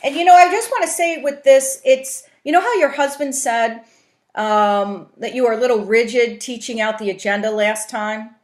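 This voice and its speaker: A woman's voice: on the high side, normal speed. A woman giving informal instruction on a conference call, explaining step by step and often checking for understanding. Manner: explanatory, often checks in with "right?" and "you know". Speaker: trainer, facilitator teaching a coaching tool. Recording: roomy, echoing conference call audio.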